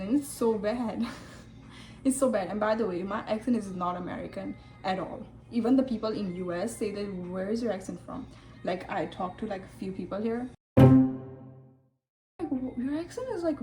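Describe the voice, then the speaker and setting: A woman in her twenties with a mixed Singaporean-Indian accent, chatting casually to the camera. She swears often and swings between hushed asides and loud bursts. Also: medium pitch. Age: 20s. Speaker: vlogger. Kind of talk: casual confessional chat to camera. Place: room at home. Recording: echoey room.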